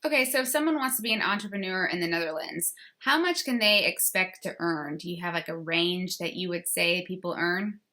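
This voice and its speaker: A woman's voice: fairly low pitch, brisk, hardly any pauses, bright, forceful tone. A woman hosting an interview, asking short, upbeat questions. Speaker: interview host.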